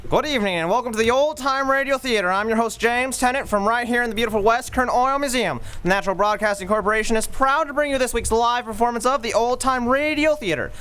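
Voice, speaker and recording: A man's voice: very high voice, fast, hardly any pauses, sharp tone, clean tone. A man hosting a live old-time radio show on a museum stage, announcing in a formal, scripted style.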